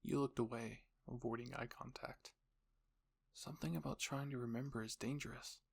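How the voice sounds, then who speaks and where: Casting: male storyteller, mid-pitched, gravelly edge, scripted second-person narration for audio roleplay. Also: steady tone, normal speed.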